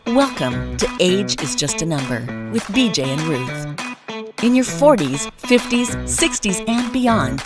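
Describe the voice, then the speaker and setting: A woman reading a scripted promotional intro as an announcer, with animated delivery. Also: on the low side, slow pace. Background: loud music with guitar.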